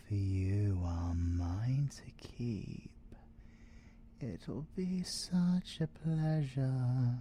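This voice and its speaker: A British man playing a possessive, scheming siren in an ASMR audio roleplay, seductive and theatrical, with drawn-out words and whispers. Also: lowish voice, warm tone, breathy edge, full character voice. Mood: possessive, adoring. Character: possessive, scheming male siren, obsessive lover and villain.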